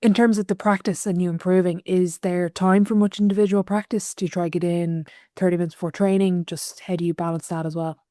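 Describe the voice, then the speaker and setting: A woman's voice: on the low side, level tone, a little fast, hardly any pauses, dark tone, no echo. Irish lady podcast host, eager questions, trips over words a bit, dry close sound. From Ireland. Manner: eager, stumbling starts, chatty follow-ups. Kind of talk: interview questions.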